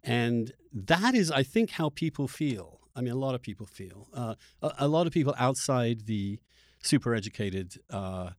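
A man from England talks as a podcast host in a dry, close acoustic. His voice is medium in pitch, and he speaks reflectively and haltingly, doubling back on words as he thinks aloud. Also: sing-song, no echo. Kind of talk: conversational political discussion.

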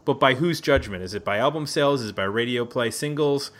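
A man's voice: medium pitch, fast, a bit bright. A man from Buffalo in the United States hosts a casual round-table music podcast in a chatty, jokey way, often correcting himself. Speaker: podcast host. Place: indoors at a neighbor's house.

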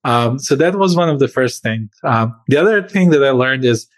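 A man's voice: mid-range voice, expressive, moderate pace. A man who grew up in Serbia answers interview questions as a company founder, speaking earnestly with frequent fillers and shifts in pace.

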